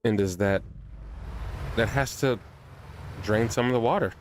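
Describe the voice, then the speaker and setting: A man's voice: mid-range voice, measured pace, noisy background. A man giving casual, laid-back commentary as he plays a game, thinking aloud as he goes. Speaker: gaming video creator. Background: game music.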